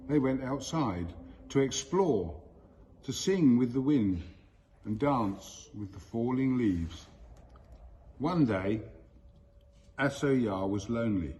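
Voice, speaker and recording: A man reading a children's story aloud in a deliberate, expressive way with storyteller pauses. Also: medium pitch, lo-fi sound, slight background noise. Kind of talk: scripted storybook narration.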